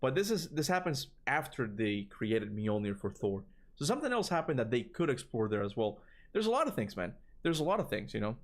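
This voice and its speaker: Man, Cuban accent, casual streamer talk, rambling and lively, mid pitch. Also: brisk. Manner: rambling, animated, swears casually. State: tired voice from long talking. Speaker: gaming streamer answering chat. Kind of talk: casual livestream chat.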